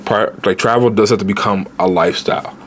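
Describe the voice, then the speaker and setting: A man in his late twenties, a travel vlogger giving casual, animated budget travel advice straight to viewers, with slang. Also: fairly low pitch, fast, a little hiss or hum. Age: 20s.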